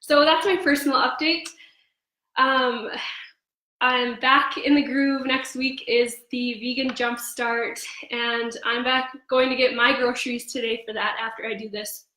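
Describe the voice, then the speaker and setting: A young woman with a slightly hoarse voice, chatting casually on a live cooking stream with frequent ums. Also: fairly high pitch, fairly even intonation, a little slow, bright, forceful tone. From Canada. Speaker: host of a cooking livestream. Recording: echoey room.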